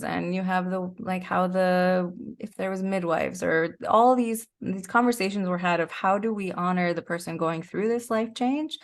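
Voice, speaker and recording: A woman with a fairly low voice and plenty of vocal fry talks as a podcast guest. She is middle-aged and explains things in a reflective, conversational way, lightly amused as she goes. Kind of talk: casual interview conversation.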